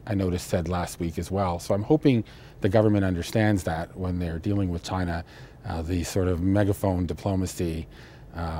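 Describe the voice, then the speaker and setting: Low-voiced older man. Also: a little mellow, slight background noise. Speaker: interviewed trade expert. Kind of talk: television interview analysis. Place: indoor studio, seated interview.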